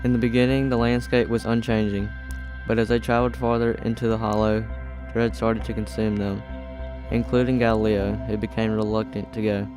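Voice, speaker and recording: A man's voice: fairly low pitch, flat delivery, a little fast, over music, poor audio. A young man reading scripted story narration for an amateur radio play. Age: teenager or young adult.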